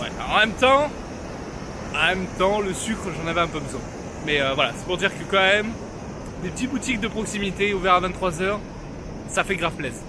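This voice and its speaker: A young man talks through his travel vlog in a relaxed, slangy way, cracking jokes at his own expense. His voice sits a little high for a man. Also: noisy background. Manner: joking, slangy, self-mocking. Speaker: travel vlogger. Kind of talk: casual travel vlog narration.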